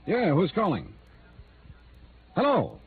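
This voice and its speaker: A man's voice: high voice, wildly swooping pitch, slow pace, creaky, exaggerated voice. An American man acting a tough, skeptical insurance investigator in a radio noir drama, with a wry, clipped, hard-boiled delivery. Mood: irritated. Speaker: radio drama lead and narrator. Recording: tinny vintage radio recording with music.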